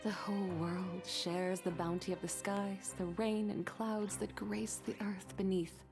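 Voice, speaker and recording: A woman's voice: medium pitch, flat delivery, unhurried, over music, lightly acted. A woman playing a solemn figure who recites lofty, poetic lines in a video game. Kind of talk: scripted video game dialogue.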